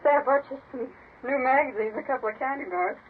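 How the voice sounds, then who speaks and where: An actress playing a reluctant, frightened American police informant in a 1950s radio crime drama. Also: female voice, high-pitched, fast talker, lightly acted. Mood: coaxing, friendly. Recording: tinny, faintly noisy old radio recording.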